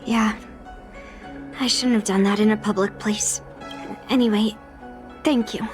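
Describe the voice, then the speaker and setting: A woman voicing an earnest, resolute young swordswoman in a video game, speaking solemnly and with dramatic pauses. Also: mid-range voice, steady tone, music in the background, lightly acted. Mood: calmer, hesitant.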